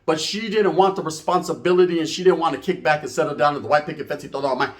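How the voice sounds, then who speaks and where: A man's voice: fairly high pitch, fairly even intonation, quick pace. A middle-aged man tells a comedic story informally, profane and boastful, wandering off and checking in with tag questions.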